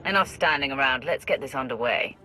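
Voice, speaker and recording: An actress playing a stern, imperious Sith warrior commanding an alliance in a dramatic video game. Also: female voice, a bass voice, fairly quick, faint music behind, rough recording. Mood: impatient. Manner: imperious, forceful.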